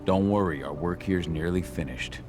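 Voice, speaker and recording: Man acting, werewolf fighter, protective father, very deep, terse, guarded, game drama, music behind. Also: moderate pace, natural voice. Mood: gentle, fatherly. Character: gruff, brooding werewolf warrior, protective husband and father.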